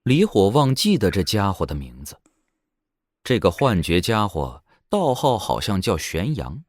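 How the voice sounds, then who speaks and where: A man's voice: fairly low pitch, wildly swooping pitch, unhurried, no echo. A man narrating an audio drama in a dramatic, scripted storytelling style. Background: background music.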